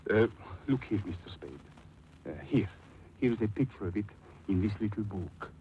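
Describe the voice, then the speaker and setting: A man's voice: lowish voice, animated, normal speed. An actor playing a formal, hesitant businessman client, polite and evasive, in 1940s radio drama style. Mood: guarded, earnest. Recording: muffled 1940s radio, light noise.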